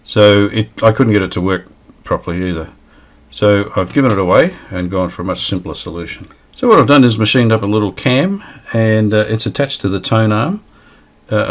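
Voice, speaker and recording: Low-voiced man narrating a hands-on workshop video. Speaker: restoration hobbyist presenting his own video.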